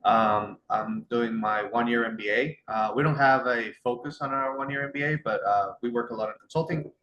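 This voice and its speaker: A man's voice: mid-range voice, normal speed, a bit bright, muffled recording. Polite man from Costa Rica, echoey. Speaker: MBA student. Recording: echoey room with faint noise.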